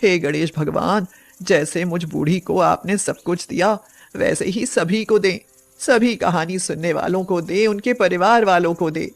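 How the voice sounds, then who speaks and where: A woman voicing a poor, tender-hearted old village woman in a storybook tale, speaking gently and devoutly. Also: lowish voice, unhurried, gravelly. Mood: grateful, devout. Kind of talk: acted storybook dialogue.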